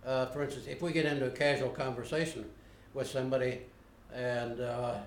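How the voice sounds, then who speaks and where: Older man, interviewee; plainspoken and unhurried, recorded on a roomy camera mic. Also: medium pitch, flat delivery, a little hiss or hum. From United States. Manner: unhurried, plainspoken, frequent uh pauses. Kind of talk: unscripted recollection. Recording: roomy home video recording.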